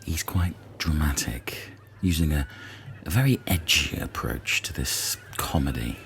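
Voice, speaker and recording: A man voicing an odd, deadpan male talent show judge who talks slowly and half whispers. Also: very deep voice, vocal fry, a little hiss or hum, heavily acted. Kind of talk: comedy audio sketch.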